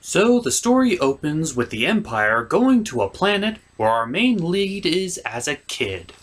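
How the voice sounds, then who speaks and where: A comedy host narrates a scripted movie recap in a theatrical manner. The delivery is exaggerated, with pitch that swings widely from phrase to phrase. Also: big pitch swings, a little slow.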